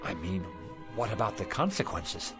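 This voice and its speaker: An actor playing a grasping, frustrated pawnshop owner in a radio drama, insistent and excitable. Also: male voice, fairly low pitch, normal speed. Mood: demanding. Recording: music under the voice.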